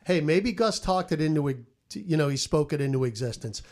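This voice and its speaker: Higher-voiced American male sports broadcaster. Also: expressive, a little fast, darkish tone. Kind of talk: animated storytelling on a podcast roundtable.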